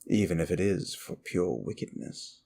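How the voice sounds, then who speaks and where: Man voicing a very deep, gravelly, contemptuous demon. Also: animated, dark tone, exaggerated voice. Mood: cold, contemptuous. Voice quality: low, gravelly. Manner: theatrical, brooding, slow and deliberate with dramatic pauses. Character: wicked, contemptuous immortal male demon, secretly conflicted. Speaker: audio roleplay performer. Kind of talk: ASMR roleplay monologue, addressed to the listener.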